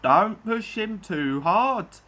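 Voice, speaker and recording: Lady doing a strict train boss man for a kids book, firm and businesslike, takes it slow. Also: medium pitch, animated, a bit bright, heavily acted. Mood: gently warning. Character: stern, businesslike male railway controller. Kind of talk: children's storybook reading.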